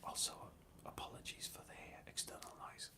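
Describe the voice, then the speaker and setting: A man whispering an ASMR question-and-answer ramble in a soft, hesitant and thoughtful way. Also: normal speed.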